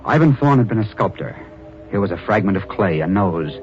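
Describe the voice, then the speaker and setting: Actor as low-voiced reporter narrator, old radio drama, music under, dull sound. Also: male voice, lively intonation, brisk, close to an everyday voice. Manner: earnest storyteller, hesitant repeats. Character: newspaper reporter narrating his story, adult man.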